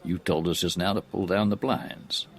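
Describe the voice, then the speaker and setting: An actor playing a shrewd middle-aged politician in an audio drama, probing and deliberate, with folksy phrasing and a gravelly voice. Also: male voice, low-pitched, steady tone. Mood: suspicious, probing.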